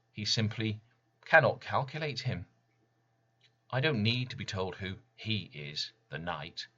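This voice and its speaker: A man reading his poem as dramatic spoken word, deliberate and intense, with weighty pauses. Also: low-pitched, pushed and bright, muffled recording. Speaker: poet reading own work.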